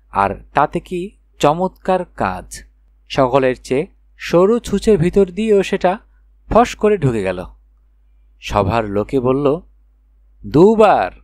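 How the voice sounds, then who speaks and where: Animated male storyteller, dry close sound. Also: medium pitch, no echo. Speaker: audiobook narrator. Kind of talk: expressive children's fairy tale narration.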